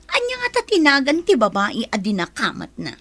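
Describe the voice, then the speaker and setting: A woman's voice: fairly high pitch, huge pitch swings, fairly quick, pushed and bright, faint music behind, heavily acted. A woman playing a suspicious, jealous, prying woman in a radio drama.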